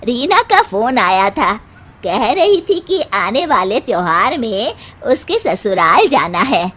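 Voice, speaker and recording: A greedy, complaining older mother-in-law, acted by a woman in a cartoon family tale. Her voice is very high, and she drags out her demands slowly as she scolds. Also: sing-song, fairly bright tone, full character voice. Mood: demanding, bossy. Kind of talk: cartoon moral story voice acting.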